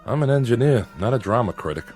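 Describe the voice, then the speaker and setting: An actor playing a gruff, principled plant manager who is wearily defiant, speaking with dry, grave sarcasm in a drama. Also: male voice, mid-range voice, expressive, quick pace, darkish tone, soft background music, lightly acted. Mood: dry, guarded. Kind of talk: dramatic radio-play style acting.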